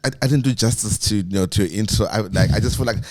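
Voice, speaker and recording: A man hosting a podcast, chatty and excitable, often tripping over his words. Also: fairly low pitch, fast. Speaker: podcast host. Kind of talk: casual chat.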